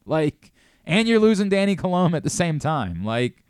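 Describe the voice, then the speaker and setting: A man's voice: fairly high pitch, sing-song, moderate pace, a little mellow, smooth, dry recording, clean studio sound. A man hosting a sports radio show, talking casually and analytically, restating his points with emphasis. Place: radio studio.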